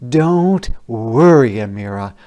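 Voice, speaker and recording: A man's voice: mid-range voice, expressive, slow, dark tone, dull audio. A man voicing a caring, practical mother in a children's storybook. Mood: reassuring.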